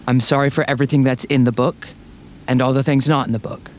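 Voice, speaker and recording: A man's voice: mid-range voice, flat delivery, fast, a little hiss or hum, close to an everyday voice. An actor playing an awkward, self-centred young man in an audio drama, hesitant, defensive and boyish. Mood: apologetic. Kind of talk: scripted audio drama acting.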